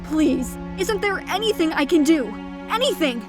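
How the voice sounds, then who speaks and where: A woman's voice: very high-pitched, expressive, moderate pace, music in the background. A woman playing a young goat girl in a fantasy audio drama, earnest and anxious, with a hesitant, polite manner. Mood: pleading.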